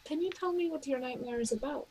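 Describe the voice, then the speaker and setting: A woman's voice: high-pitched, fast, noisy background. A woman playing a tabletop roleplaying game, slipping casually between table chat and describing her character.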